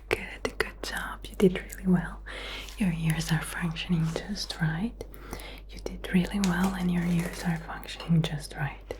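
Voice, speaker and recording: Female ASMR doctor: whispered, low-pitched, repeating instructions soothingly. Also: rough recording. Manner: soothing, slow, deliberately repetitive instructions. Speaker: ASMR performer playing a doctor. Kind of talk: whispered ASMR medical role play.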